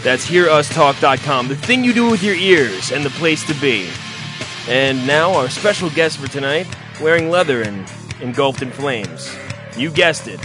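A man hosting a comedic internet talk show, his pitch bouncing up and down as he jokes his way through the introductions. He sits a bit above the usual male range and rambles through his asides with plenty of little hesitations. Light guitar music runs faintly underneath. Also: expressive, moderate pace. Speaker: host.

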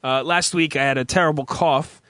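A man hosting a crude comedy podcast, raspy from a recent cough, cursing and rambling through stories. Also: a little high, steady tone, moderate pace, fairly bright tone, no room sound. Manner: profane, rambling storyteller. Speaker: podcast host.

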